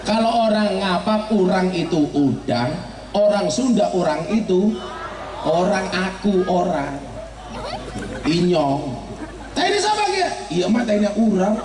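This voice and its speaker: A man's voice: high-pitched, sing-song, measured pace. A middle-aged man, giving a humorous sermon and teasing his audience. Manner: joking, teasing the audience, mixing regional dialect. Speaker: preacher. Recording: roomy, from far off, crowd and noise behind.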